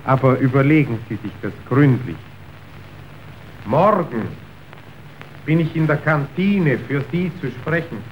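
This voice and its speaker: An actor playing a slick, manipulative factory official in a radio drama, speaking in a measured, officious, persuasive way. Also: male voice, medium pitch, lively intonation, natural voice. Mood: coolly persuasive. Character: slick, calculating male factory boss's agent, smoothly manipulative. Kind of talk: stage-like German radio drama acting. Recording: dull, noisy old radio recording.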